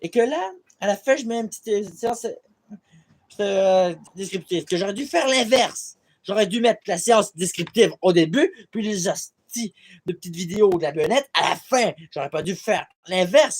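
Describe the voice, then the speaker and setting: A young man from Quebec rambles through a casual vlog into his tablet's built-in mic. He drifts from one gripe to the next, swearing freely and running himself down. He sounds loose and unscripted throughout. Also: high voice. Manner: rambling, swears a lot, self-deprecating. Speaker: vlogger. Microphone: tablet camera mic.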